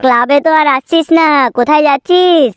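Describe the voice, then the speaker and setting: A woman's voice: high-pitched, brisk, dry recording, close to an everyday voice. A woman voicing a nosy, grumbling, slangy friend in a comedy cartoon. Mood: suspicious.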